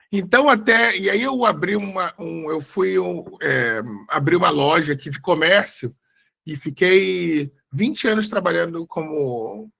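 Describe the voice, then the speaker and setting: A Brazilian-born researcher in his forties speaks over a video call in a loose, rambling way, full of fillers and restarts. His voice sits a little high and sounds bright and forceful. He talks like someone chatting before a presentation. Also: vocal fry. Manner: chatty, self-correcting, many fillers. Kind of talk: casual live talk before a slide presentation.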